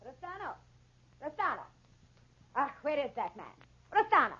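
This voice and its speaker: A woman's voice: sits high, big pitch swings, normal speed, pushed and bright, heavily acted. A woman in a 1940s radio melodrama playing a hysterical farm wife, crying out shrilly. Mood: shrill calling. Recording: muffled 1940s radio recording.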